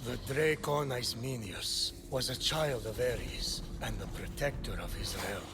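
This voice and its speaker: An actor playing a wise, patient storyteller of myths, narrating a video game in a measured, dry, solemn way. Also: male voice, mid-range voice, expressive, noisy background, lightly acted.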